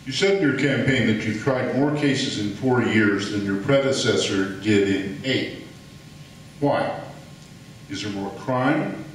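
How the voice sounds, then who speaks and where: A man's voice: mid-range voice, unhurried. A man moderating a debate, formally reading out questions to the candidates. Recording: very echoey room, distant mic, faint noise.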